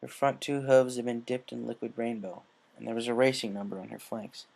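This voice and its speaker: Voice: mid-range voice, steady tone, fairly quick, vocal fry, darkish tone, a little hiss or hum. A narrator reading fan fiction aloud as scripted storytelling. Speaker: story reader.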